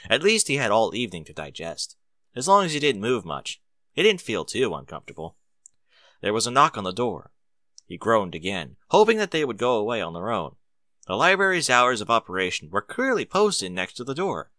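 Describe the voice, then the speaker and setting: A male narrator reads a scripted story. His medium-pitched voice is bright and moves with lively expression, and the recording is dry, with no room sound. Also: fairly quick, no echo.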